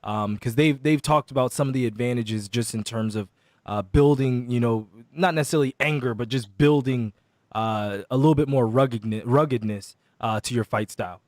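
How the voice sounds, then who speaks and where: A man's voice: medium pitch, a little mellow, dry recording, studio quality. A man co-hosting a casual sports show, teasing and joking, asking questions with many fillers. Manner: joking, quick to laugh and tease. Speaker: co-host.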